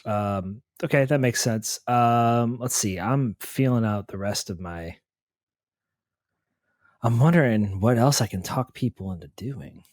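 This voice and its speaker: A man's voice: medium pitch, a little slow, a little mellow, dry recording, clean studio sound. A man playing a tabletop role-playing game, casually improvising and repeating words as he thinks aloud. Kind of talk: casual in-character improvised chat.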